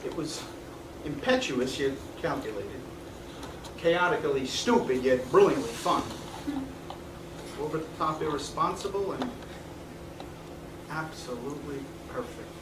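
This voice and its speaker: A man playing a weary, nostalgic man in his fifties, speaking deliberately and reflectively in a theatrical stage performance. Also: on the high side, expressive. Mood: wistful storytelling. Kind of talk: theatrical stage monologue and dialogue. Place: small indoor theatre stage. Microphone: far camera. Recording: echoey theatre stage, distant noisy camera mic.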